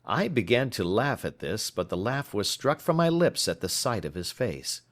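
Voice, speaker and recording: A man reading a first-person literary story as an audiobook narrator, in a measured, formal storytelling manner. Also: lowish voice, sing-song.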